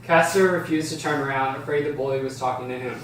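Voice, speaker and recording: A man's voice: medium pitch, steady tone, quick pace, fairly bright tone. A man reading scripted stage directions as the narrator of an amateur table read. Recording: roomy, distant, faint noise.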